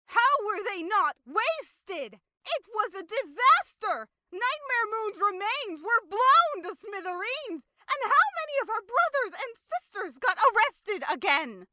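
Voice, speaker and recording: A woman's voice: extremely high pitch, big pitch swings, a little slow, few pauses, bright, forceful tone, dry recording, studio quality, heavily acted. A woman playing a sarcastic, irritable female pony cultist in an audio drama, with exasperated, emphatic delivery.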